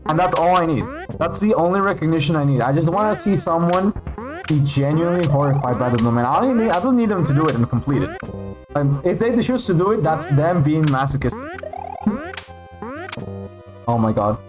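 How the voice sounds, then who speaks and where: Spanish-native streamer man, higher voice. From Peru. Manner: rambling, excitable, reads out names with pauses. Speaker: game streamer. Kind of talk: casual livestream chat while gaming. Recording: faint background music.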